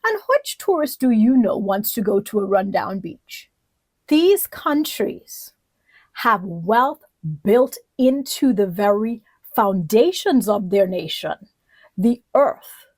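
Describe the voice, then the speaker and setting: A woman from the Bahamas giving an instructional lecture in a deliberate, emphatic way, often posing rhetorical questions. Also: mid-range voice, sing-song, a little mellow, no room sound. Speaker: political leadership lecturer.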